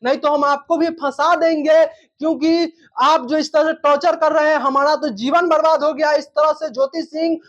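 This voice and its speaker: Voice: sits high, fairly even intonation, moderate pace, hardly any pauses. A news presenter giving an informal commentary on viral news in repetitive, wordy phrasing. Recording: dull lo-fi recording.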